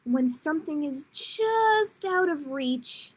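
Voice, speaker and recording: Slow, higher-pitched woman reading aloud. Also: lively intonation. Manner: unhurried, simple read-aloud phrasing with casual asides. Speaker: children's librarian, storytime host. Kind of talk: reading picture books aloud to children.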